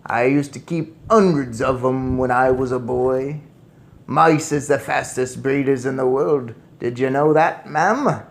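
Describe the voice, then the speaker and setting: A man's voice: mid-range voice, a little slow, gravelly. A man voicing a chatty, oldish male taxi driver with colloquial working-class speech in a storybook.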